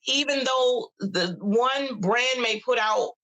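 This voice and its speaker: A woman's voice: a little high, takes it slowly, fairly bright tone, low-quality recording. A woman over fifty, a guest on a podcast, talking casually and often repeating words as she searches for what to say. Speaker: podcast guest, cigar brand ambassador. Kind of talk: casual chat.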